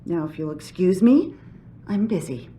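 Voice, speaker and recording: An actress playing a loyal, prickly middle-aged company employee in a video game, firm and curt. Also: female voice, fairly low pitch, animated, normal speed, mellow, a little hiss or hum, lightly acted. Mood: defensive, stern. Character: loyal, prickly, long-serving company woman. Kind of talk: video game cutscene acting.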